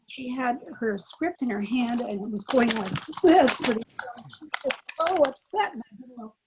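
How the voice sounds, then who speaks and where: A woman's voice: fairly high pitch, slightly rough, rough recording. An older woman, a former child radio actor, telling stories as a panel guest on a video call. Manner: animated storyteller, acts out quoted lines. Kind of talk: anecdotal storytelling.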